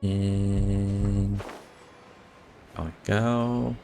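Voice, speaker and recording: A man's voice: lowish voice, little pitch movement, extremely slow, vocal fry, background noise. A man giving casual live playthrough commentary as a game streamer, with offhand, laconic reactions.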